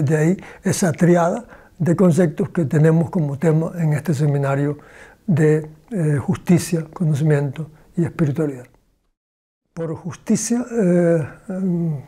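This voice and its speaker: Very mellow-voiced older man. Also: on the high side. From Cuba. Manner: slow, deliberate, pausing often to search for words. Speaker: philosophy professor. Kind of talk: interview answers. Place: small indoor room with bookshelves.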